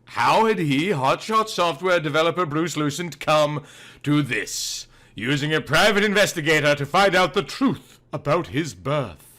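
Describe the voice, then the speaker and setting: High-pitched, theatrical male storyteller. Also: lively intonation, a little slow, pushed and bright. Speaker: narrator of a comic detective story. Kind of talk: scripted, theatrical audiobook narration.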